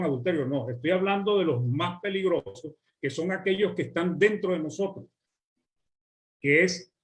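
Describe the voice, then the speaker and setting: A man with a Venezuelan accent preaching a sermon, working through lists slowly and stretching key words for weight. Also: fairly high pitch. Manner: measured, list-like preaching with drawn-out words.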